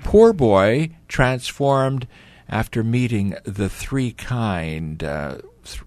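A man's voice: medium pitch, lively intonation, extremely slow, darkish tone. A man hosting a radio talk show, reading sponsor promos and chatting with a studio guest. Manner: chatty, reads promos then interviews.

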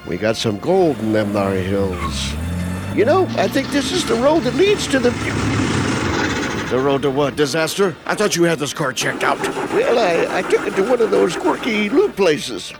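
An actor playing an excitable man on a road trip in a radio ad skit. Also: a little high, normal speed. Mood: excited. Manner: jokey, animated. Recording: loud music bed.

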